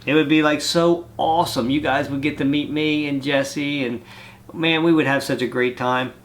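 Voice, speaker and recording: A man's voice: fairly high pitch, steady tone, normal speed, slight background noise. A man with a cold, congested and coughing now and then, chatting casually on his vlog and rambling from one thought to the next. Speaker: vlogger reading fan mail. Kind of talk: casual chat.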